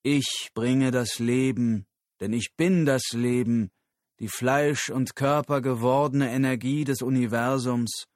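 A man's voice: medium pitch, fairly even intonation, slow, dry recording, studio quality, voice pushed up, close to an everyday voice. Man voicing a grandiose messianic guru, raised voice. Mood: calm, exalted. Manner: solemn, declamatory proclamations. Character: messianic male guru, grandiose, proclaiming himself reborn.

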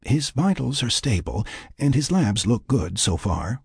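A man voicing a calm, careful woman medic who explains things clinically in an audiobook. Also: mid-range voice, unhurried, creaky, slightly rough, dry recording, studio quality, voice pushed up, exaggerated voice. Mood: concerned, explanatory. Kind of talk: audiobook character dialogue.

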